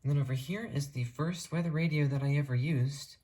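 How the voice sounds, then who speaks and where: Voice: medium pitch, a little fast, mellow. A hobbyist narrating a casual show-and-tell video, explaining things in a detailed, matter-of-fact way.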